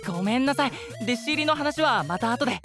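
A polite youngster voiced by an actor reads a preview announcement. The delivery is bright and bouncy in pitch, over clear music. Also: expressive, fairly quick, lightly acted. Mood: eager, polite. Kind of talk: preview narration in a voice drama.

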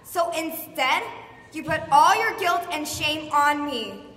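A woman's voice: sits high, slow, fairly bright tone. A woman performing a stage monologue as a hurt teenage daughter bitterly accusing her father. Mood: indignant. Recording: echoey hall, distant mic.

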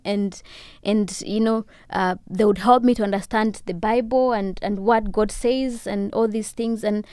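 A woman's voice: mid-range voice, no echo. Rwandan-born young woman, dry studio mic. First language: Kinyarwanda. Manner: warm storytelling with soft laughs and many "you know"s. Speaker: guest. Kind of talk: casual interview chat. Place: podcast studio, indoors. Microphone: studio boom mic with headphones.

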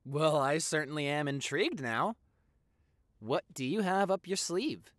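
A young man playing an affectionate, excitable vampire boyfriend in an intimate audio roleplay, gushing and stammering. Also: a little high, a little slow, no echo, clean studio sound. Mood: curious, hesitant. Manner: gushing, stammering, repeats himself.

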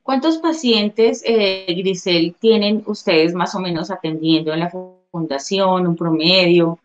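A woman's voice: lowish voice, unhurried, soft background music. A woman in her thirties asking interview questions as a journalist over a video call. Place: living room at home, indoors. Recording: dull video-call audio.

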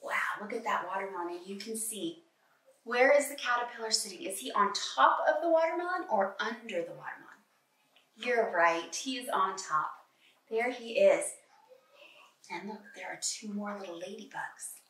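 A woman's voice: mid-range voice, moderate pace, distant mic. A middle-aged woman teaching preschoolers, reading a picture book aloud in an encouraging, exclaiming way with questions for young listeners. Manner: animated, encouraging, exclaiming and gasping, addresses small children. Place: indoor kitchen at home, at a table. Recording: echoey room, away from the mic.

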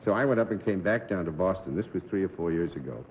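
A man's voice: low-pitched, animated, quick pace, a crowd in the background. A middle-aged man from New England, hosting a live panel game show and reminiscing genially with a guest. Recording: dull slightly noisy 1960s TV broadcast.

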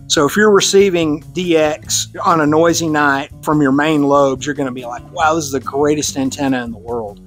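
A man's voice: a little high, moderate pace, few pauses, fairly bright tone, soft background music. A man with a Texan accent giving a casual, conversational technical talk to a radio club, with easy fillers and restarts. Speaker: club presenter on radio antennas. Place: club meeting room.

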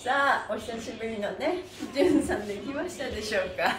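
A woman's voice: on the high side, lively intonation, brisk. A woman leading a live stretching class, cheerful and encouraging, chatting with viewers and chanting counts. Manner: cheerful, encouraging, often laughing. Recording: roomy echo, faint background noise.

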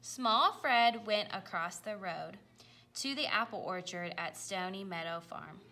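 A woman reading a children's picture book aloud, with careful, expressive delivery. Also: mid-range voice, a little slow. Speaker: storybook reader.

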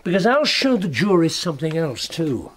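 An actor playing a brilliant, proud detective in a radio drama, with a British accent and articulate, deliberate, emphatic delivery. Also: male voice, on the high side, big pitch swings. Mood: stern, triumphant. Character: brilliant, proud male detective, precise and relentless.

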